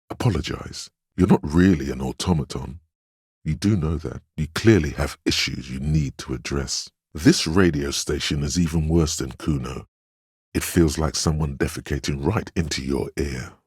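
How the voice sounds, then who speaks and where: The grave inner voice of a middle-aged man's willpower for a video game. It is extremely low and a little husky, recorded close and dry. The delivery is measured and admonishing, with a dry wit and a protective firmness. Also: no room sound, heavily acted. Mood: stern, admonishing, then dry, insistent. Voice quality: very deep, solemn. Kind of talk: scripted game narration in second person.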